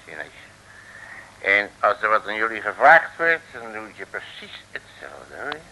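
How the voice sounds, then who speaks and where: Folksy bossy detective in an old 50s radio play, real drawn out talk, piercing tone. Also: male voice, fairly low pitch, big pitch swings, a little slow, pushed and bright, exaggerated voice, low-quality recording. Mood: bossy, jovial. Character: wily old-fashioned Dutch detective, folksy, bossy uncle type. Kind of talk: 1950s Dutch radio serial acting. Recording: old radio drama recording.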